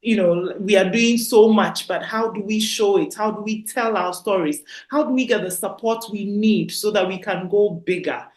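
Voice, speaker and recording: A woman's voice: mid-range voice, normal speed, hardly any pauses. A woman hosting a podcast, speaking in a gushing, heartfelt, faith-filled way to her listeners. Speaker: podcast host. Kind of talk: effusive interview chat.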